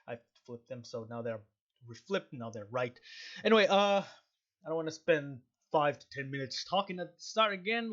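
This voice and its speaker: A man's voice: a little high, fairly bright tone, highs cut off. A man giving casual, rambling livestream commentary on a game, often correcting himself as he talks into a headset microphone. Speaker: game streamer.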